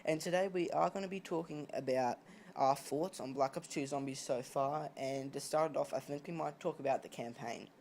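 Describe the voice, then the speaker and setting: A man's voice: a little high, normal speed, a little mellow. A man hosting a casual group discussion about gaming. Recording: noisy home voice-chat recording.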